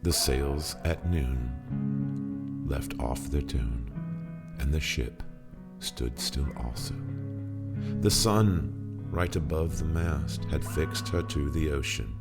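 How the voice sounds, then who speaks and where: Man performing a very deep, gravelly old mariner. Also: exaggerated voice. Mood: hushed, wondering. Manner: slow, theatrical, solemn. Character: haunted old male sailor recounting ghostly ordeal at sea. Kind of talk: dramatic poetry recitation. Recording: music under the voice. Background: plucked guitar music.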